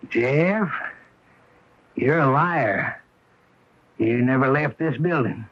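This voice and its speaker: A man's voice: mid-range voice, slow. An actor playing a blunt, nosy, suspicious small-town man with a folksy manner in a radio mystery drama. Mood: accusing, nagging. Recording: dull lo-fi 1980 radio broadcast.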